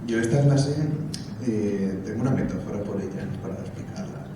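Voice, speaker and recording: A man's voice: fairly low pitch, normal speed. A man student speaking animatedly and emphatically in an interview. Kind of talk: testimonial interview. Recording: echoey room from far, noisy.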